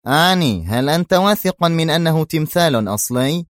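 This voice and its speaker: A man's voice: medium pitch, very animated, brisk, no echo, studio quality, pitched above the performer's own voice, close to an everyday voice. A man voicing a fidgety, nervous associate who speaks in a tense, edgy way.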